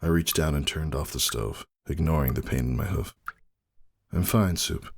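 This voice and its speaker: A man giving a dramatic first-person story reading as narrator, husky and brooding in manner. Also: extremely low pitch, brisk. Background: faint music.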